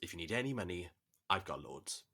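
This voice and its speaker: Man playing a deep, husky, teasing young flatmate. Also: a little fast, full character voice. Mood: casual, reassuring. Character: amiable, teasing, slightly clueless young male flatmate. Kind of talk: naturalistic audio drama dialogue.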